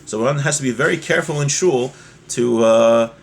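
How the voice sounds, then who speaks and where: A man's voice: mid-range voice, moderate pace, vocal fry. A man teaching an informal religious lesson, reading from a text and explaining it with frequent check-in questions.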